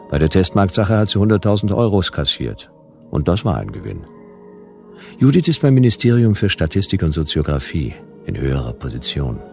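Deep, gravelly private detective. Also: male voice, heavily acted. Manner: laconic, dry, deadpan. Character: wry, world-weary private detective in a sci-fi future. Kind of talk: first-person noir narration and dialogue in radio drama.

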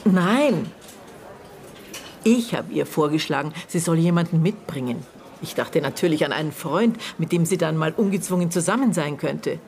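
A middle-aged woman with a lowish voice, guarded and bitter in a radio play. She speaks haltingly in short, broken phrases, as if digging through painful memories. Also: lively intonation, a little mellow. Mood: reflective, guarded. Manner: terse, halting, broken phrases with thoughtful pauses. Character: murdered girl's aunt, bitter, guarded, searching her memory. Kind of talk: naturalistic German radio drama acting.

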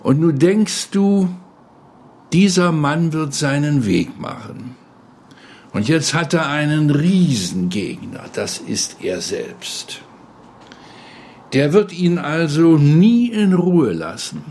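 An older man speaks slowly in a fairly high voice. He tells things like a storyteller in an interview, with dramatic emphasis on his phrases. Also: sing-song, darkish tone, a little hiss or hum. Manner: dramatic present-tense phrasing. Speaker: interviewee, former radio feature editor.

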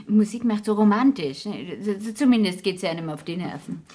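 A woman's voice: medium pitch, expressive, moderate pace, a little mellow, natural voice. An actress playing an older, genteel, scatterbrained society lady who rambles on, in a 1960s German radio drama. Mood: chatty, genial. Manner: rambling, genteel, questioning tags.

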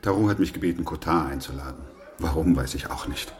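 An actor playing a composed doctor who narrates a plague chronicle in a measured, sober radio drama style. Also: male voice, extremely low pitch. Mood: calm.

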